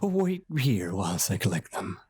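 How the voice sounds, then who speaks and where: A performer playing a courteous, old-fashioned doll shop owner in a radio drama, formal and polite, with a husky voice. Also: sits low, big pitch swings, measured pace, a little mellow, exaggerated voice. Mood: smooth, formal.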